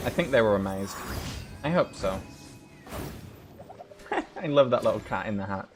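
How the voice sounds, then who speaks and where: Low-voiced man doing casual game commentary. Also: expressive, moderate pace, music in the background. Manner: swears casually. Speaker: game streamer.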